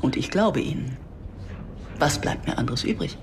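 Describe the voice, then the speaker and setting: A woman's voice: a bass voice, expressive, moderate pace, gravelly, background noise, exaggerated voice. An actress playing a wry, guarded Israeli mother who narrates her story in a radio drama with dry, reflective irony. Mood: defensive, firm. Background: music under the voice.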